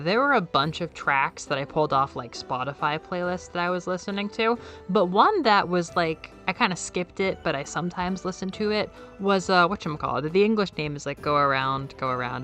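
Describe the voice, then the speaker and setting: Young woman, casual YouTube talk; soft, airy, slightly nasal, lowish pitch, excitable and rambly, faint music under the voice. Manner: enthusiastic, excitable, jumps up in pitch when quoting; rambling with fillers and repeated words. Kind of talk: casual video essay.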